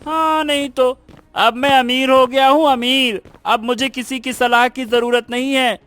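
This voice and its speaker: Stubborn village schoolboy, squeaky high, bright, slow-paced, exclamatory, cartoon story, music underneath. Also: male voice, fairly even intonation, clear, resonant tone, no room sound. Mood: boastful, dismissive. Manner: exclamatory, repeats words emphatically. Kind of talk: Hindi cartoon moral story acting.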